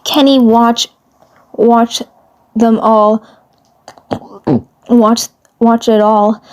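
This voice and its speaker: A woman narrating a fan fiction story, reading haltingly and often repeating phrases. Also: on the high side, flat delivery, a little mellow, clear, resonant tone.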